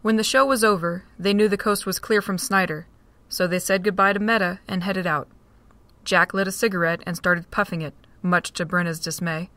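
A woman with a fairly low voice reads the narration of a fan audio drama. The sound is dry and close, with almost no room around it. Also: fairly even intonation, normal speed, sharp tone, dry recording. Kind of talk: scripted narration.

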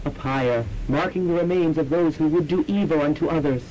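A man plays a melodramatic, brooding poetic soul in a radio play. His voice is mellow and fairly high, solemn and grandiose. A faint rumble sits in the amateur student recording. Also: a little fast, lightly acted, poor audio. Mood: grandly wistful. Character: pompous, brooding young man speaking in purple poetic prose. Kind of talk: campy college radio drama acting.

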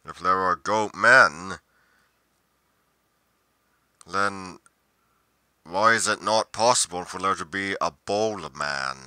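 A man playing a brooding, solitary monster investigator in a roleplay monologue, musing deliberately with pauses mid-sentence. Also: deep voice, bright, forceful tone, smooth, dry recording, clean studio sound, heavily acted. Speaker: roleplay performer.

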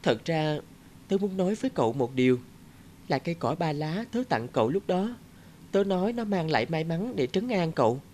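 A woman voicing a kind, reassuring young schoolgirl in a cartoon, gentle and consoling. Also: low-pitched, expressive, normal speed, slight background noise. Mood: apologetic, earnest. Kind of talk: scripted cartoon dialogue. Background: background music.

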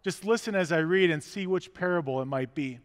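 A man's voice: on the high side, brisk. A middle-aged man preaching a sermon as pastor, conversational and full of rhetorical questions, walking and gesturing inside a large church sanctuary. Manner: gestures while walking about the chancel. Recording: long reverb of a church hall.

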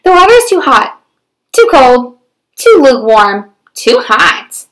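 Lady doing a stubborn drama queen cartoon pigeon from a picture book, really high, super animated. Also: slow pace, exaggerated voice, rough recording. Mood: fussy, grumbling. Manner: exaggerated, theatrical delivery. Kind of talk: animated storybook read-aloud.

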